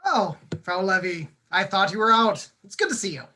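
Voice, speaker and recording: Woman playing an awkward sorry landlord in a play reading, hesitant, repeats words, average pitch. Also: animated, normal speed, sharp tone. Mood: flustered, evasive. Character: flustered, apologetic landlord serving eviction notice. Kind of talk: amateur stage play reading over video call.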